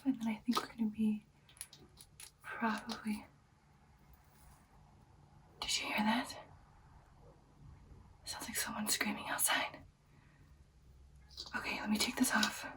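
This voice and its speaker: Anxious, tender vampire girlfriend, acted by a woman for ASMR roleplay: higher-pitched whisper, soothing and halting, slightly away from the mic. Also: far from the mic, natural voice. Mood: caring, attentive, then wary, puzzled. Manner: soft, hushed, halting, soothing personal attention. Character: caring, anxious vampire girlfriend tending the wounded.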